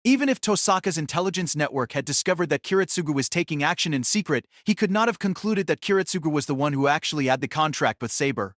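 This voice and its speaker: Animated higher-voiced man narrating a novel. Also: fast, hardly any pauses, no echo, clean studio sound. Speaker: audiobook narrator. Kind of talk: scripted dramatic novel narration.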